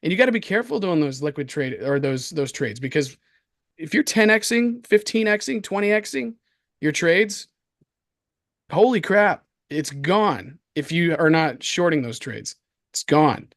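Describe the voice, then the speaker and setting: Hoarse man, dry close sound, casual co-host commentary, slangy, repeats himself, excitable. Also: fairly high pitch, unhurried, no echo. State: hoarse from coughing. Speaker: crypto show co-host. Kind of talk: casual chat, market commentary.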